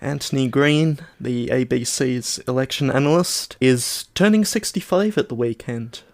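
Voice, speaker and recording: An Australian man chatting casually as a podcast co-host, with a dry, deadpan manner. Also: mid-range voice, moderate pace, no echo. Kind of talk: casual chat.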